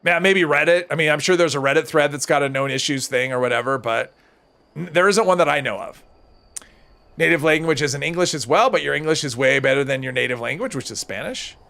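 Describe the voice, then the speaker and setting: Gravelly-voiced man chatting on a game stream. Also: a little high, fairly quick, sharp tone. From United States. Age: older than high school, adult. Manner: casual, chatty, reads and answers chat while playing. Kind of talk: live gameplay stream, chatting with viewers.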